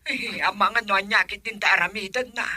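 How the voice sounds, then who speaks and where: A woman voicing an anxious middle-aged mother, Ilocano accent, fretful, breathy tone, dry sound, radio drama. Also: mid-range voice, fairly even intonation, a little fast, bright, forceful tone, dry recording. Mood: pleading. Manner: fretful, talking to herself in worry.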